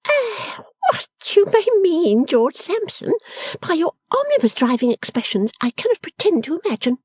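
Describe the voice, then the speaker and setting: Sulky, disdainful young lady, put on by a female reader, high and airy, swooping pitch. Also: big pitch swings, normal speed, a little mellow, no room sound. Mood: haughty. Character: haughty, sulky young daughter, pert and disdainful.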